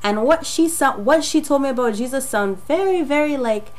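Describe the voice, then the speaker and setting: A young woman from Jamaica, raspy from a cold, telling her personal story in a chatty, rambling way with many fillers. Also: high voice, lively intonation, moderate pace. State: getting over a cold. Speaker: vlogger. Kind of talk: casual personal testimony to camera.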